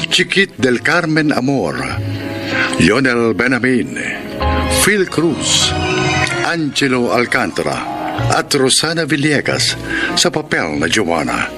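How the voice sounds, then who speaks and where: A man with a Filipino accent announcing a radio drama in a formal, solemn, declamatory scripted style. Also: medium pitch.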